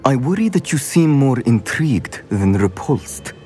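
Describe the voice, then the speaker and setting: An actor playing a wise, guarded professor who speaks in a measured, formal way. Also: male voice, medium pitch, sing-song, dark tone, faint music behind. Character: guarded wise old professor, a portrait keeper.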